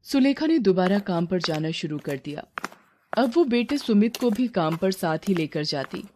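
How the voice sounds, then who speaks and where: A woman's voice: mid-range voice, lively intonation, normal speed, warm tone, a little hiss or hum. A woman reading a scripted moral story as its narrator.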